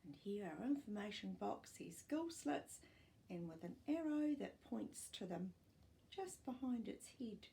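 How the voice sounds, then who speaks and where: Older woman, children's read-aloud, expressive explaining manner, very varied intonation, slightly gravelly creak. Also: medium pitch, measured pace. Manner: expressive, clearly phrased, explaining to young listeners. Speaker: storytime host reading aloud. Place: small furnished living room, indoors.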